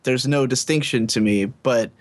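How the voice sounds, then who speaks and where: A man's voice: mid-range voice, moderate pace. Opinionated man in casual podcast chat. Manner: opinionated, self-assured. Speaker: podcast guest, anime reviewer.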